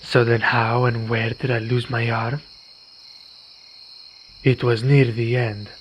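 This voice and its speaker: A man playing a weary, courteous vampire in an ASMR roleplay, speaking in formal, measured phrases. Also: mid-range voice, level tone, natural voice. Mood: solemn recounting. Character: weary, courteous male vampire, wounded but calm. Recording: dull recording with night insect noise. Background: crickets and night insects.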